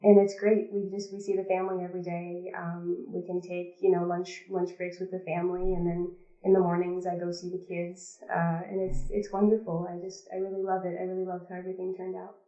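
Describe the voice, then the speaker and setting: A woman's voice: lowish voice, monotone, brisk, warm tone. A woman in her thirties from the Pacific Northwest, chatting as a guest in an interview, talking with lots of ums and restarts. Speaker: guest, behavior analyst. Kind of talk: casual interview talk. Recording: lo-fi, roomy call audio.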